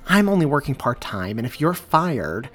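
A man reading as a tired, apologetic middle-aged police officer and husband with a hoarse voice. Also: mid-range voice, big pitch swings, normal speed, voice pushed up. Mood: pleading.

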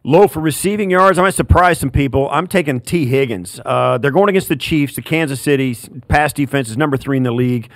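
A man's voice: mid-range voice, fast, few pauses, dry recording. Easygoing older man talking sports. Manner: easygoing, self-deprecating. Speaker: former player and co-host. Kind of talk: casual sports chat.

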